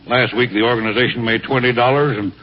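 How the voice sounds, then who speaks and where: An actor playing a grumpy, sly, miserable sidewalk Santa in an old radio comedy, griping in deadpan and bellowing weary greetings. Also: male voice, medium pitch, fast, natural voice. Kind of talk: vintage radio comedy acting. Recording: noisy, muffled old radio broadcast.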